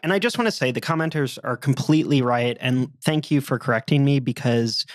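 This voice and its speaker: A man co-hosting a podcast, dropping deadpan jokes in casual chat and explaining history plainly. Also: mid-range voice, a little fast, dry recording, clean studio sound. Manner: deadpan joking. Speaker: podcast co-host.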